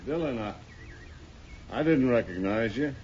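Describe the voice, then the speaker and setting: A man playing a shifty, defensive hired gunslinger in a 1950s radio western, with a halting, wheedling way of talking. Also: medium pitch, expressive, a little mellow, close to an everyday voice. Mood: uneasy. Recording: dull, hissy 1950s radio recording.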